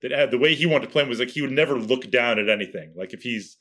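A man in his thirties with a loud voice, a podcast guest chatting casually and telling animated stories. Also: medium pitch, fast talker. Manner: animated storyteller who laughs between lines.

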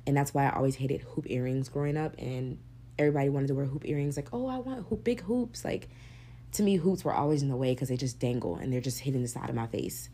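A young woman hosting her own podcast, talking candidly and casually, swearing freely, with a deep, gravelly voice. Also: fast talker, mellow. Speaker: podcast host. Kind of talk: casual solo podcast monologue.

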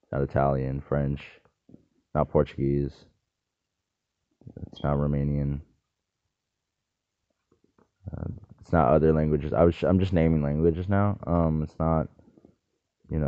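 A man's voice: very deep voice, dry recording. A man rambling through a loose, jokey solo monologue, wandering between topics and cutting himself off mid-thought. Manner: meandering, self-interrupting, jokey asides. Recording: dry, tinny phone-like sound.

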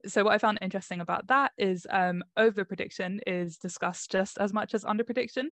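A woman's voice: medium pitch, brisk, a bit bright, no room sound. A woman co-hosting an education podcast, reading scripted links and reflecting thoughtfully on interviews.

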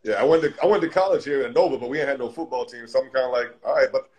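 A man's voice: mid-range voice, rapid-fire, a little mellow, breathy edge. A man from Montego Bay, Jamaica, teasing and joking with friends in a casual podcast chat. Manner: teasing, playful.

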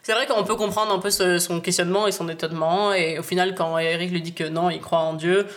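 A woman's voice: on the low side, fairly even intonation, a little fast. Young woman chatting casually in slang. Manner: slangy, peppered with English phrases. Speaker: podcast co-host. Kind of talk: casual chat about a TV series.

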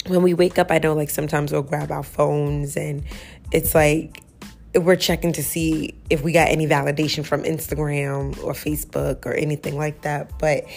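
A woman in her thirties hosting a solo podcast, chatty and self-reflective, her voice a little raspy this early in the morning. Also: sits low, darkish tone. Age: 30s. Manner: chatty and self-reflective, full of "you know" and "like". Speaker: podcast host. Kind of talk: casual solo podcast talk.

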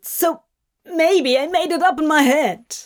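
A woman voicing a volatile, sharp-tongued male demon, ranting and shouting in anguish. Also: sits high, unhurried, voice pushed up.

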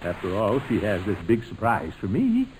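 An actor playing a hearty, pompous middle-aged uncle in 1940s radio comedy, blustery and coaxing. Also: male voice, lowish voice, huge pitch swings, normal speed, darkish tone. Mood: coaxing, worried. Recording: noisy old-time radio recording.

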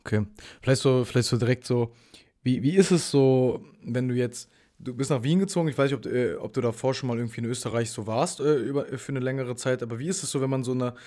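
A man's voice: medium pitch, fast talker, dark tone. A man hosting a casual interview podcast, asking rambling, hesitant questions with many fillers. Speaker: podcast host.

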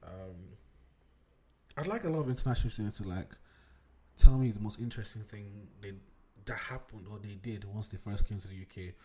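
A man's voice: fairly low pitch, brisk, a little mellow, slight background noise. A young man from Nigeria in his twenties hosting a casual solo podcast from his home desk, rambling, digressing and swearing freely. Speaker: podcast host. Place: home room at a computer desk.

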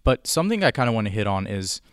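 A man's voice: fairly low pitch, sing-song, quick pace, dry recording, clean studio sound. A young man hosting a casual interview, asking easygoing, friendly questions. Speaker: interview host.

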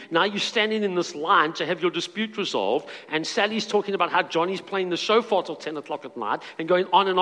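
High-voiced man preaching in a hall. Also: fairly bright tone. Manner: earnest preaching, reads scripture aloud with asides. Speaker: pastor. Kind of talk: sermon.

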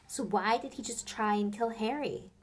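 A woman's voice: on the high side, moderate pace, lightly acted. Know-it-all schoolgirl witch, woman doing her, insistent, storybook. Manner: insistent, emphatic.

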